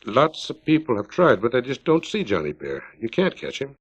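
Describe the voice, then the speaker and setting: A man's voice: medium pitch, huge pitch swings, moderate pace, slightly rough, heavily acted. A man reading an earnest small-town man's emphatic, colloquial dialogue in a story, on a 1950s record. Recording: dull 1950s vinyl record.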